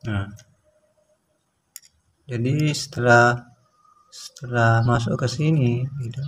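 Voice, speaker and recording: A man giving a hands-on repair tutorial, explaining in a plain, unhurried way with frequent fillers. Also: medium pitch, steady tone. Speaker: small engine repair instructor.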